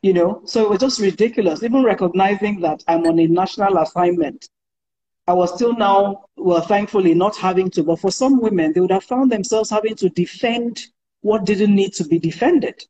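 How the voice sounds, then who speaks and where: A woman guest with a deep voice, telling stories confidently and with amusement. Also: normal speed, dark tone, rough recording. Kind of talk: casual storytelling in an interview.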